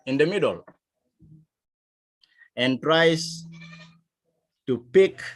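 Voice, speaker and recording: A man from South Sudan with a South Sudanese accent, teaching a lesson in a deliberate, emphatic manner. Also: medium pitch, a bit bright. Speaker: instructor. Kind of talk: digital literacy lesson, explaining to learners.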